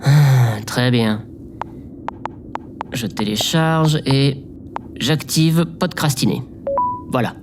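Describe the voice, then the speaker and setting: A man's voice: mid-range voice, drawn-out words, long pauses, noisy background. A man playing a hesitant, self-doubting oddball in an audio drama, speaking with stiff politeness as if musing aloud. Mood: puzzled.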